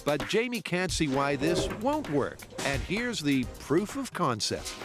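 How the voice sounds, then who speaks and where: A man's voice: on the high side, sing-song, measured pace. A man reading scripted documentary narration in a wry, punny voice-over manner. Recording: voice-over with music behind.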